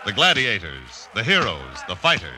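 A man's voice: sits low, wildly swooping pitch, moderate pace, a crowd in the background, poor audio. An American man narrating a vintage radio drama in a theatrical, declamatory announcer's style. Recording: old radio broadcast, loud music.

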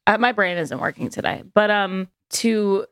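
A woman co-hosting a casual podcast, playful with quick asides and casual swearing. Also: mid-range voice, a bit bright, clean tone. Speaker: podcast co-host.

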